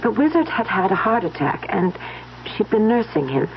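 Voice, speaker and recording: An actress playing a gentle, weary young wife, hesitant and emotional in an old-time radio mystery. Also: female voice, medium pitch, big pitch swings, normal speed, vocal fry. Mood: hesitant, sombre. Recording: dull, noisy old radio recording.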